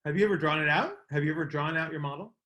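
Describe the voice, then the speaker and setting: A man's voice: a little high, very fast, bright, forceful tone. A middle-aged man hosting a casual coaching call, explaining emphatically, repeating key words and checking in with "right?". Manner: explanatory, emphatic word repetition, frequent "right?" check-ins.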